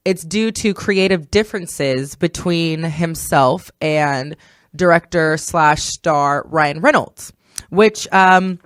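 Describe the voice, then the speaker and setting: Lowish-voiced woman, dry studio sound. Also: takes it slowly, no room sound. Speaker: podcast host. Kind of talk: casual entertainment discussion.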